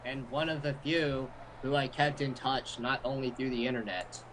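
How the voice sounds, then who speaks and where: A man's voice: mid-range voice, fairly even intonation, normal speed, fairly bright tone, rough recording. A man giving casual let's-play commentary and reading aloud, with sarcastic asides. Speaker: amateur let's-player. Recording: faint background noise.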